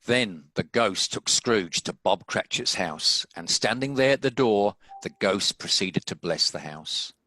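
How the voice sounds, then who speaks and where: Bright, low-pitched male narrator. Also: lively intonation, unhurried, hardly any pauses, dry recording, low-quality recording. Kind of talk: scripted story narration.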